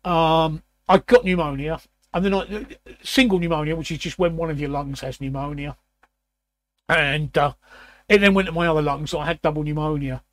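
A man in his sixties with a croaky, raspy voice, hosting a casual chat and often clearing his throat. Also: a little high, normal speed, dry recording. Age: 60s. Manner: repeats words, frequent throat clearing. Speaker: host.

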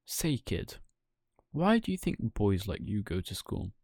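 A man's voice: lowish voice, sing-song, measured pace, creaky, a little mellow, dry recording, studio quality, exaggerated voice. A man playing a sly coachman who coaxes children in a persuasive, slick way, as a storybook villain. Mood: coaxing. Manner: coaxing, persuasive.

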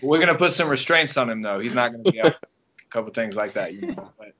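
A man in his thirties from England with an English accent, a track coach relaxed and rambling as he answers interview questions. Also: mid-range voice, quick pace, muffled recording, crowd noise. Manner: relaxed storytelling with many ums and restarts. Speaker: guest track and field coach. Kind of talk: casual podcast interview.

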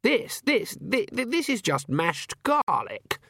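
A man's voice: a little high, very animated, unhurried, fairly bright tone, no room sound, studio quality, voice pushed up, heavily acted. A man voicing a pompous, fussy male party guest in an audiobook, with an affronted manner. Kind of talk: audiobook character voice.

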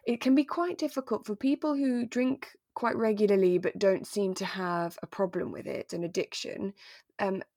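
A woman co-hosting a podcast, talking through a drama in a relaxed, thoughtful, explanatory way. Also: medium pitch, moderate pace, no echo, studio quality. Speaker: podcast co-host. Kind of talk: relaxed conversational discussion of a radio drama.

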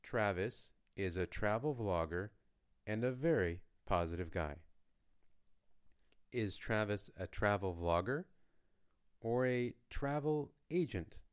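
A man from Canada hosting a beginner language podcast, speaking in a slow, lightly joking teaching voice. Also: lowish voice, lively intonation, clear, resonant tone. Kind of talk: slow, clear lesson narration for learners.

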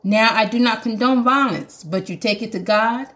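A woman's voice: on the high side, moderate pace, a bit bright. A woman with a Jamaican accent hosting a podcast, talking earnestly and emphatically like a sermon. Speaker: podcast host. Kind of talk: preaching podcast monologue.

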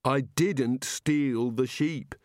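A man's voice: fairly high pitch, expressive, normal speed, no room sound, studio quality, voice pushed up, lightly acted. A man voicing a hapless, anxious male prisoner in a comic audiobook, fretful, hesitant and sardonic. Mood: weary, irritated.

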